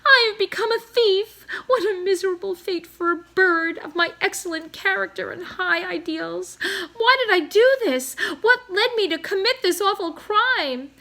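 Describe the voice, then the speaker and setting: A woman's voice: very high voice, pushed and bright, pitched above the performer's own voice, full character voice. A woman voicing a pompous male swan, a proud and doting father, with florid, declamatory speech in a children's storybook reading. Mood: anguished, lamenting.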